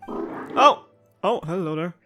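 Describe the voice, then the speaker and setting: A woman's voice: lowish voice, lively intonation, normal speed, fairly bright tone. A young woman giving casual, chatty, emotionally reactive let's-play commentary. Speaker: gaming streamer. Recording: game music behind voice. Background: video game music.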